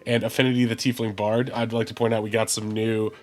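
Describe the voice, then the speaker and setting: A man's voice: fairly low pitch, flat delivery, fast, darkish tone, soft background music. A man hosting a tabletop game stream, congested from the flu, chatting loosely with lots of fillers before opening the session. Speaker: game master. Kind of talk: casual stream chat and game intro.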